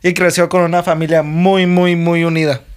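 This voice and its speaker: A man's voice: on the high side, flat delivery, moderate pace. A young Mexican man co-hosting a podcast, chatting casually in a laid-back, jokey way with lots of slang and frequent laughter. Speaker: podcast co-host. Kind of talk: casual chat.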